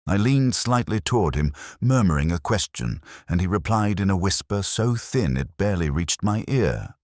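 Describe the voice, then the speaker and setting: A man reading a scripted literary detective story in the first person, in a measured, brooding, wry manner. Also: low-pitched, animated, a little mellow, dry recording, studio quality. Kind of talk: scripted literary mystery narration.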